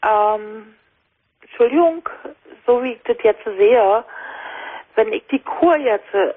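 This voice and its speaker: A woman's voice: fairly high pitch, lively intonation, slow, slightly rough. A woman from Brandenburg with a Brandenburg accent, calling into a radio show and speaking hesitantly with many fillers. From Brandenburg, Germany. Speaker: caller. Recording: phone line on radio.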